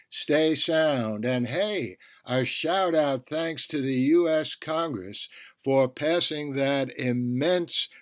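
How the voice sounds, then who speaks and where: A man hosting a podcast, reading a review in a measured, deliberate manner. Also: mid-range voice, dry recording. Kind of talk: scripted review narration.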